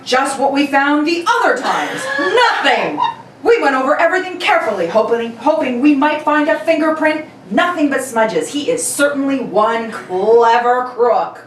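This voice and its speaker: A woman playing an earnest police detective giving a brisk report in an old-time radio play reading. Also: sits high, sing-song. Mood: wry, exasperated. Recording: roomy, distant mic, background noise.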